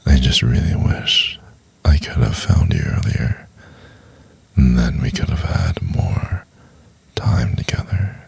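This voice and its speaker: Very deep, gravelly seductive professor played by a man. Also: no room sound, heavily acted. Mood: intimate, adoring. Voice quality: breathy, gravelly, very low, often near whisper.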